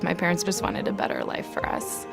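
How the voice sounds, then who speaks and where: A woman from Colombia tells her own story in a documentary segment, open and personal, with a slightly gravelly edge to her voice. Music plays clearly underneath her. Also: lowish voice, wildly swooping pitch, fairly quick. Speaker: entrepreneur telling her story. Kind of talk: documentary interview.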